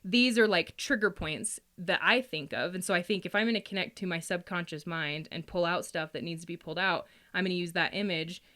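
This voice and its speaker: A woman's voice: fairly low pitch, a little fast, hardly any pauses, sharp tone. A woman chatting casually and informally as a guest, explaining things in a loose, talkative way. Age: adult, parent. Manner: chatty, informal, frequent laughing asides. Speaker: guest, tarot and astrology reader.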